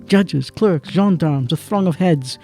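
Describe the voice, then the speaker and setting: Narrator for literary audio drama: solemn, deliberate, warm soft tone over faint music. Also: male voice, on the high side. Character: solemn male storyteller of a literary radio play.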